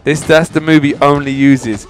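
A man's voice: on the high side, fairly even intonation, normal speed. A man hosting a casual video game walkthrough, talking informally and straight to his viewers, often repeating his phrases. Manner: informal, chatty, addressing viewers directly. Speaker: gaming channel host. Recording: game music behind voice. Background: video game music.

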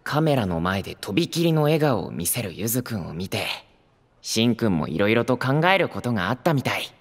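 Young hopeful idol, very animated, earnest, friendly and easily flustered, anime audio drama. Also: male voice, fairly low pitch, a little fast, no echo. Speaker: protagonist and narrator. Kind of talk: anime-style voice drama acting.

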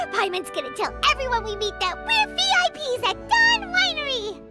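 A woman voicing a tiny, excitable childlike fairy companion in a video game, squeaky and cheeky. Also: exaggerated voice. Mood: delighted, excited. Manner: bubbly, refers to herself in third person. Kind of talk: video game character dialogue. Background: prominent background music.

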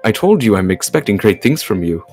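A man voicing a polite, exacting catboy butler in a visual novel, speaking in a formal, measured, courteous way. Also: lowish voice, big pitch swings, darkish tone, soft background music. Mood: encouraging. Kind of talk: amateur visual novel dub.

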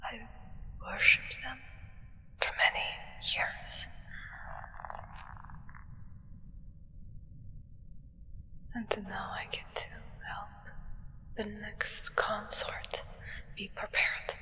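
A young woman playing a solemn, devoted vampire acolyte in a hushed, reverent ASMR roleplay whisper. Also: mid-range voice, fairly even intonation, gravelly, in a large hall, heavily acted. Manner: hushed, slow, reverent, deliberate. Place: indoors, small draped set.

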